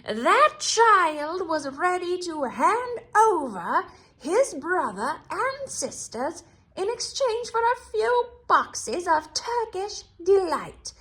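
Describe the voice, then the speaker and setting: Screeching, high-pitched evil witch queen. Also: big pitch swings, sharp tone, full character voice. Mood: furious, demanding. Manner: screeching, imperious, drawn-out menacing delivery. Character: shrieking, gloating, tyrannical evil witch queen. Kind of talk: theatrical children's storybook read-aloud.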